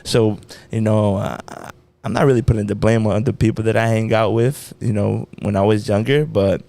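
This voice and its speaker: A young man from the United States with a somewhat low voice shares his story on a casual podcast. He talks loosely and drifts a little, with plenty of "you know" and "like" and easygoing slang. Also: steady tone, moderate pace, vocal fry, dark tone. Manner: laid-back, slangy, rambling with many fillers. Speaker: podcast co-host.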